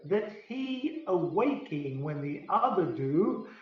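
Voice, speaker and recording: An actor playing a lordly, scheming fairy king who declaims Shakespeare verse in a measured, stately way for radio. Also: high voice, very animated, heavily acted. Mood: commanding, satisfied. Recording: very echoey, distant, dull sound.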